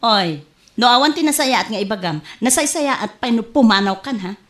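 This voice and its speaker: A woman's voice: fairly high pitch, animated, brisk, a bit bright. A woman acting a lovestruck, hot-tempered woman in an Ilocano radio comedy drama, with an Ilocano accent and scolding delivery. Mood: indignant, scolding. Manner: melodramatic, scolding. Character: Linda, lovestruck, hot-tempered woman courting an old man.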